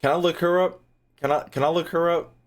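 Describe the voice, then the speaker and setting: A woman's voice: fairly low pitch, fairly even intonation, brisk. A woman streamer giving casual reaction commentary on a video game, talking in a blunt, slangy, profane way.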